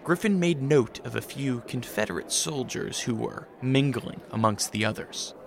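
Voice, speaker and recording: A man's voice: medium pitch, lively intonation, moderate pace, creaky, slight background noise. A man reading scripted narration as the narrator of a story.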